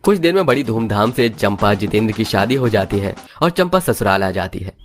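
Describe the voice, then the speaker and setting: A man's voice: fairly low pitch, animated, a little fast. A man reading scripted narration for a moral story as its narrator.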